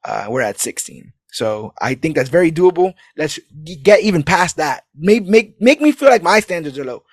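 A man's voice: a little high, very animated, moderate pace, no room sound, clean studio sound. A man hosting a podcast, casually rambling through an upbeat outro full of plugs and calls to support the show. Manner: rambling, upbeat, hype-driven promo talk. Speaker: podcast host.

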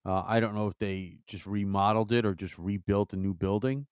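Smooth-voiced teasing co-host. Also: male voice, on the low side, steady tone, fairly quick, no echo. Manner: teasing, dry banter. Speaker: podcast co-host. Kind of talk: casual sports chat.

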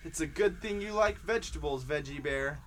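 A man's voice: fairly high pitch, a little fast, slight background noise, lightly acted. A man playing earnest young boy Leo. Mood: curious. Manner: excitable, cries out when startled. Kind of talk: amateur radio drama acting.

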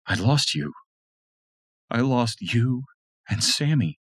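A fierce wife shouting in a shrill, strained voice, as a man acts her out. Her voice climbs very high and swings wildly. The sound is dry and close. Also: expressive, slow, breathy edge, no echo, full character voice. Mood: alarmed. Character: fierce young woman, scarred wife.

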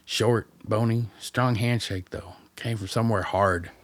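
An actor playing a gruff, wry rural guide in a radio play, talking in a blunt, folksy, plain-spoken way. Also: male voice, on the low side, steady tone, unhurried, no room sound.

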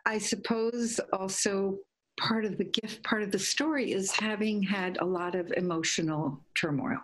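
Middle-aged woman from guatemala, lowish voice, dreamy rambly storytelling. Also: rough recording. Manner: dreamy, meandering, with soft laughs and self-corrections. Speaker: guest, imagery teacher. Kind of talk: reflective conversational storytelling.

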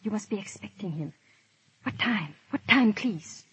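A woman's voice: mid-range voice, lively intonation, a little slow, a bit bright. Refined lady fretting for her husband, urgent, 1930s radio drama, bit of hiss. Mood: resolute. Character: anxious, devoted English noblewoman, brave wife in danger. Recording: noisy 1938 radio broadcast.

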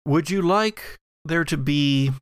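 A man's voice: on the high side, slow, clean tone, no room sound, studio quality. A man acting as game master, narrating and bantering casually at a tabletop role-playing game. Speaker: game master of an actual-play podcast.

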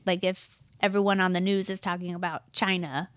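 A woman's voice: on the low side, fairly even intonation, brisk, no room sound. Woman, podcast co-host, chatty musing, dry recording. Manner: chatty, muses aloud with many "like"s. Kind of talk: casual chat.

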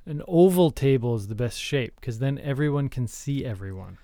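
Clean, deadpan man co-hosting a podcast. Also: mid-range voice, moderate pace, dry recording, clean studio sound. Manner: mock-earnest, deadpan. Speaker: podcast co-host. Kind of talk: casual deadpan comedy podcast chat.

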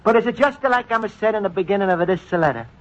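A man's voice: sits high, lively intonation, fairly quick. An actor playing a naive, earnest Italian immigrant man with an Italian accent and broken English, in a 1950s radio comedy. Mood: fond. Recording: dull old radio broadcast.

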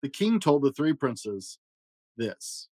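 A man reading a children's fairy tale aloud in a gentle storytelling manner. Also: sing-song, slow, husky, no echo. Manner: gentle storytelling with varied pitch for emphasis. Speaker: storybook reader.

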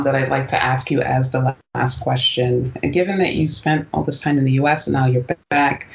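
A man with a gravelly, creaky voice hosts a podcast and frames long, thoughtful questions. The audio is dull and lo-fi, as over a call. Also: medium pitch. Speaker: podcast host. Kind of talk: casual interview conversation.

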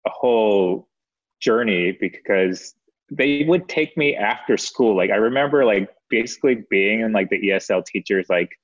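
Male, American-born, lowish voice, hesitant and reflective casual storyteller, slightly muffled lo-fi audio. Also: expressive, moderate pace, dry recording. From United States. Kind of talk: casual chat, personal storytelling. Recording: dull, band-limited audio.

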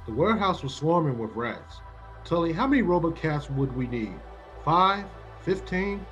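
A man's voice: a little high, sing-song, moderate pace, a bit bright, muffled recording, faint music behind. An actor playing a decisive, commanding male executive of a space colony in an old-time radio science-fiction drama. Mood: commanding, resolute. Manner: commanding, firm.

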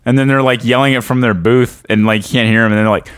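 A man's voice: medium pitch, steady tone, fast talker, clean tone, no echo, studio quality. A man co-hosting a casual podcast, a drummer, joking and self-mocking, his voice a bit stuffed up today. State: voice stuffed up, deeper than usual. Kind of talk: casual chat.

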